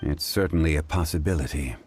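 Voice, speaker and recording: An actor playing a calm, wise man in a video game, speaking formally and deliberately. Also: rumbling low, a little hiss or hum. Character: ancient composed man, wise and measured.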